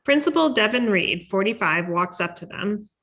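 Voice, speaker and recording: A woman's voice: mid-range voice, expressive, moderate pace, fairly bright tone. A woman reading stage directions as scripted narration at a table read.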